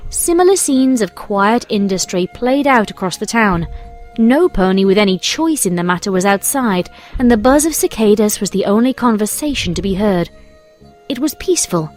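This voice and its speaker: An Englishwoman reading scripted storybook narration in a measured, expressive storytelling manner. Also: mid-range voice. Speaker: fan fiction reader and narrator. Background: music.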